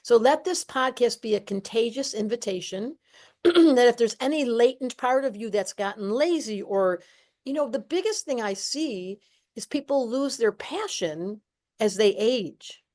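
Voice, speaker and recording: A woman hosting a podcast, talking in a playful, animated way and acting out little voices as she tells her stories. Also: a little high, unhurried. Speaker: podcast host, astrologer. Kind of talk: casual podcast conversation.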